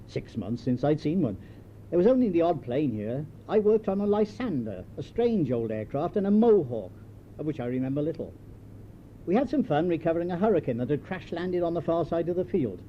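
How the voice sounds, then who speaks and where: An older British man reads his written memoir in a slightly high voice. His storytelling is measured and dryly understated. It sounds like an old home tape, dull in tone with a noticeable rumbling noise behind him. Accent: British English. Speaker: memoir author reading his own writing. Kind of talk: scripted memoir narration.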